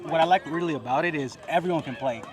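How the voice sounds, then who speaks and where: A man's voice: fairly high pitch, quick pace. A man on an outdoor pickleball court explaining the rules casually and joking with puns. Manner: joking, punny.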